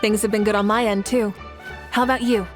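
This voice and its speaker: A woman's voice: mid-range voice, fairly even intonation, a little fast, natural voice. A woman voicing a confident, playful female leader who speaks with teasing, easy authority. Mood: calm. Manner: self-assured, teasing, warm authority. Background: game music.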